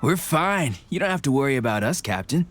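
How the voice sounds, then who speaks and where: A man's voice: medium pitch, expressive, brisk, fairly bright tone, a little hiss or hum, close to an everyday voice. Man acting a friendly, earnest veteran soldier. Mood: fond, reassuring. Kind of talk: anime video game voice acting.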